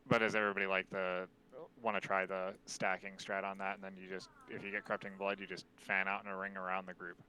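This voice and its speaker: A man with a deep voice, speaking quietly and loosely as he explains a raid plan over gaming voice chat. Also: flat delivery, quick pace, few pauses, slight background noise, low-quality recording. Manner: explaining, loose with many fillers. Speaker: streamer leading a raid strategy talk.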